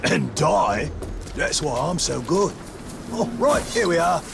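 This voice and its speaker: An actor playing a chatty, cowardly, comic orc sidekick in a video game, gravelly, rambling and ingratiating. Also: male voice, fairly high pitch, very animated, slow pace, exaggerated voice. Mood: breezy. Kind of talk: video game cutscene acting. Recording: loud music behind.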